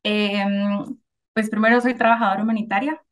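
A woman's voice: medium pitch, flat delivery, moderate pace. A woman in her twenties, a guest in a casual group discussion, speaking thoughtfully and hesitantly with many fillers. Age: 20s.

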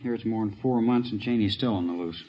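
An actor playing a practical, businesslike police lieutenant in a 1950s radio drama. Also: male voice, lowish voice, quick pace, natural voice. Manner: plain, businesslike. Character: brisk, practical visiting male police lieutenant. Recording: muffled, faintly noisy old radio recording.

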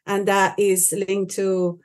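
A woman's voice: medium pitch, monotone, slow, a little mellow. Earnest Colombian woman on a podcast. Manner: earnest, with frequent restarts. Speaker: conservation organization director. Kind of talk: podcast interview.

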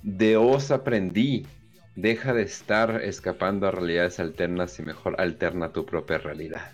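Mexican dude co-hosting, really low voice, laid back and drawly, faint music in the back. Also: male voice, fairly even intonation, moderate pace. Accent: Mexican Spanish. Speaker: podcast co-host. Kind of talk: casual chat.

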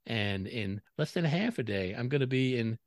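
A man co-hosting a travel podcast, talking in an enthusiastic, expressive and playful way. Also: medium pitch, normal speed, no room sound, studio quality. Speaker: podcast co-host. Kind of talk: casual podcast chat.

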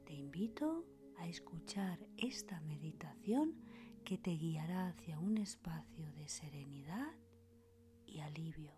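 Whispering woman, very unhurried meditation guide. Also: low voice, lively intonation. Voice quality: breathy whisper. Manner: soothing, unhurried pauses between short phrases. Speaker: meditation podcast host. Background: soft meditation music with singing bowls.

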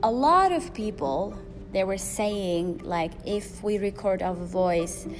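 Loud-voiced woman podcaster over music. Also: medium pitch, expressive, takes it slowly. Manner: rambling, frequent fillers and restarts. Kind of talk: solo podcast monologue. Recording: music playing behind.

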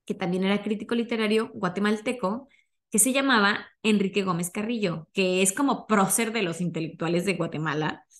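A young Mexican woman hosts a podcast in a medium-pitched voice. She tells stories informally, as if sharing gossip with a friend, in casual conversational language. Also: brisk. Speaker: podcast host.

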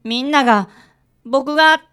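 A woman's voice: high voice, unhurried, a bit bright. A woman playing an indignant, loyal young schoolboy with a Kansai accent in a radio drama.

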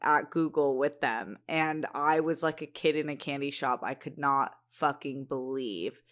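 A woman co-hosting a casual podcast, talking in a blunt, excitable way and swearing freely. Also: very deep voice, measured pace. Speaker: podcast co-host.

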